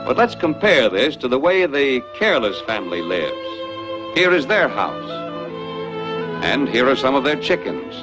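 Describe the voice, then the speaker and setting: A man's voice: mid-range voice, sing-song, unhurried, over music. A man narrating a scripted 1940s educational film in a didactic, folksy storytelling manner. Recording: dull vintage 1940s film soundtrack.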